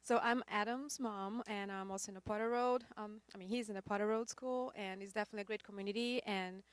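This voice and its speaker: Fast-talking woman. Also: mid-range voice, steady tone, smooth, dry recording. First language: Portuguese. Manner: warm, proud, slightly non-native grammar. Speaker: parent speaking at an info session. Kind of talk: casual testimonial.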